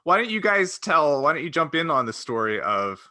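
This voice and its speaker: Podcast co-host, male, somewhat high and bright voice, relaxed conversational delivery with dry jokes. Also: normal speed. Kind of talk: casual group chat.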